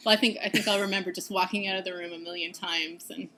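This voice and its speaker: A woman in her thirties, a seminary student, answering interview questions thoughtfully, laughing often and pausing with ums. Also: fairly low pitch, steady tone, sharp tone, a little hiss or hum, poor audio. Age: 30s.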